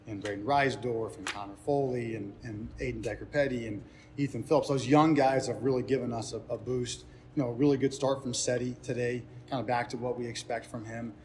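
A man's voice: mid-range voice, fairly even intonation, a little fast, darkish tone. Earnest male coach, slight background noise. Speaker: head baseball coach. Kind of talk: postgame press interview, unscripted answers. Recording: ambient noise behind speech.

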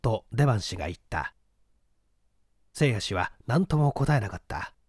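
A man's voice: fairly low pitch, animated, unhurried, slightly rough, no echo, studio quality. A man narrating a literary story as an audiobook reader, in a scripted style.

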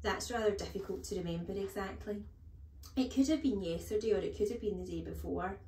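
A woman's voice: medium pitch, a little fast, warm tone, distant mic, a little hiss or hum, lightly acted. A woman voicing a brave, polite little schoolboy, earnest and frank, in a children's storybook reading. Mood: matter-of-fact. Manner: earnest, frank, trying not to sound scared. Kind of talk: children's storybook read-aloud. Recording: echoey room.

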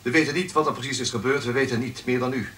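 A grave male radio announcer played by an actor, formal and shaken, at a middle pitch. He sounds a little away from the microphone. Faint noise sits on the recording. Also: fast talker, a bit bright, natural voice. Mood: shaken, apologetic. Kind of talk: radio drama acting, announcement. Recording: slightly distant, faintly noisy radio recording.

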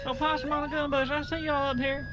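Nervous dimwit southern deputy, high voice, stutters, eager to please, game roleplay, sirens behind. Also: male voice, level tone, fast talker. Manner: stammering, apologetic. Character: timid, eager, dim male Southern deputy. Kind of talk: improvised comic game roleplay. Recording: sirens and vehicle noise behind. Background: police sirens, game vehicle noise.

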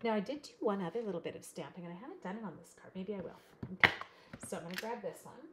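A woman's voice: mid-range voice, racing, very noisy. A woman from Canada hosting a live card-making stream, chatting and reading comments while she cuts. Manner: rambling, animated, reads chat comments aloud. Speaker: card-making livestream host. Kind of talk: chatty live crafting demo. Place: craft room at a desk.

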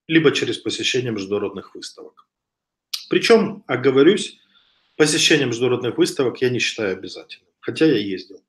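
A man in his thirties giving a webcam lecture over slides, talking casually with frequent hesitations and a slight cough from a cold. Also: mid-range voice. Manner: conversational, with frequent hesitations and restarts. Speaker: online business instructor. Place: home room with wooden shelves. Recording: echoey room on a webcam.

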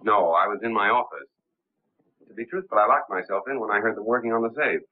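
A low-voiced, composed gentleman crime fighter played by a male actor, suave and polished in the 1930s screen manner. The sound is a thin, tinny old film soundtrack. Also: quick pace, lightly acted. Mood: courteous, smooth.

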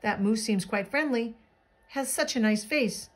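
A woman's voice: fairly high pitch, a little slow, voice pushed up. A woman voicing a small, polite and eager tree spider in a children's storybook. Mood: eager, delighted.

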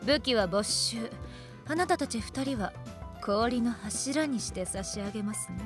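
A woman's voice: on the high side, lively intonation, a little slow, over music. A woman playing a strict, composed magic-academy teacher with coolly menacing politeness and precise scolding, in an anime-style radio drama. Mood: coolly threatening.

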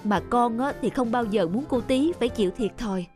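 A woman voicing an earnest, worried young father in an animated moral tale, with a soft delivery. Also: fairly high pitch, fairly quick, soft background music, lightly acted.

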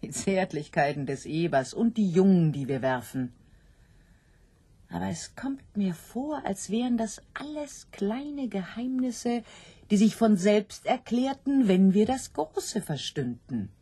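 A doubting, fearful sow in a radio drama. She broods slowly over her fate, and her dramatic speech swings widely in pitch. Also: lively intonation, dark tone, husky. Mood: brooding. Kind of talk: literary radio drama acting.

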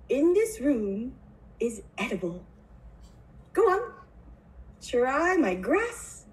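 A woman's voice: sits high, a little mellow, full character voice, low-quality recording. A woman voicing an eccentric, brusque male candy maker in an amateur puppet show, theatrical and dismissive. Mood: showy, grand.

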